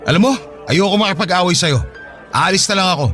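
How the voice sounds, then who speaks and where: A man's voice: high-pitched, fast talker, over loud music, lightly acted. A man playing an irritable, evasive young boyfriend in a Tagalog radio drama, with a defensive, exasperated manner.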